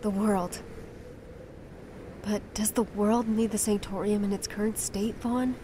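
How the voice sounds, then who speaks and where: A woman's voice: medium pitch, steady tone, a little slow, noisy background, natural voice. A woman playing a principled young heroine in a fantasy game, speaking earnestly with composed firmness. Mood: pointed challenge. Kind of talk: dramatic fantasy game voice acting.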